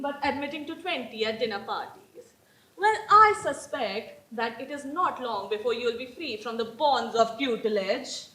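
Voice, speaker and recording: A woman's voice: high-pitched, bright, forceful tone, exaggerated voice. A woman on stage playing an imperious, snobbish older aristocratic Victorian aunt, with pompous, declamatory delivery in a comedy. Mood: haughty, dismissive. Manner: pompous, declamatory, grandly condescending. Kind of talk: theatrical stage comedy acting. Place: indoor stage in a roomy hall. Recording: echoey amateur stage play recording.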